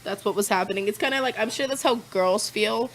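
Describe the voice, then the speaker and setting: A woman's voice: medium pitch, brisk, slight background noise, rough recording. A woman on a podcast as the guest, chatting casually and telling stories in a laid-back, profane way, with lots of fillers and laughs. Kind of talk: casual chat.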